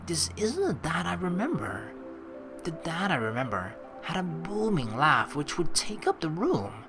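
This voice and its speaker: A man's voice: on the high side, wildly swooping pitch, unhurried, over music. A young man hosting a let's play, reading game narration aloud and adding casual commentary.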